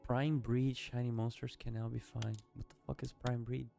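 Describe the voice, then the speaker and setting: A man's voice: medium pitch, flat delivery, normal speed, vocal fry, warm tone, faint music behind. A man with a Brazilian accent, a native Portuguese speaker, giving casual, rambling, self-mocking commentary while playing a game and swearing freely. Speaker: gaming video creator.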